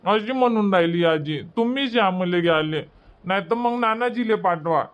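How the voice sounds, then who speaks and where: A man's voice: high voice, animated, moderate pace, clear, resonant tone, muffled recording. A man voicing a stubborn, whiny little boy in a cartoon comedy, pleading insistently with a Varhadi Marathi accent.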